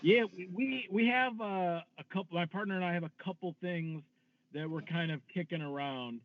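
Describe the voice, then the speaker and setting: A man's voice: sits high, normal speed. A man with a Detroit accent, a filmmaker speaking hesitantly in a casual interview. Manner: hesitant, lots of fillers. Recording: tinny phone-like line.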